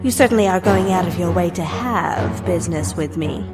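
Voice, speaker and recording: A woman's voice: on the low side, moderate pace, loud music behind the voice, lightly acted. A woman playing a sly, teasing cat burglar in a comic-book audio drama, playful and taunting.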